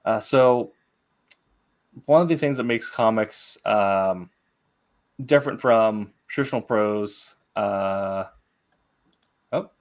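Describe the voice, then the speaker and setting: A man hosting a casual interview, asking questions in a halting way as he searches for words. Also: lowish voice. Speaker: interview host.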